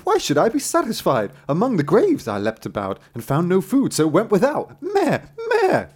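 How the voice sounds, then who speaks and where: A man's voice: high-pitched, huge pitch swings, moderate pace, slightly rough, pitched above the performer's own voice, exaggerated voice. A man voicing a sly, lying goat from a fairy tale, chanting rhymes and bleating in a singsong way. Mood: indignant, complaining.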